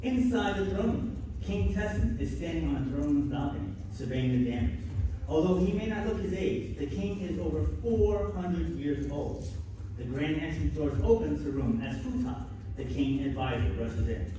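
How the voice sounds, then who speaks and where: A man's voice: on the high side, lively intonation, moderate pace. Man narrating in a noisy echoing hall. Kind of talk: scripted narration of a live radio play. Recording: echoey noisy convention hall, distant mic.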